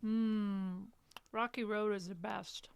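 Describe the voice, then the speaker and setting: An actress playing a weary, reluctant young care worker in an audio drama, with hesitant, understated replies. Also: female voice, mid-range voice, fairly even intonation, takes it slowly, soft background music. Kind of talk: live audio drama acting, naturalistic.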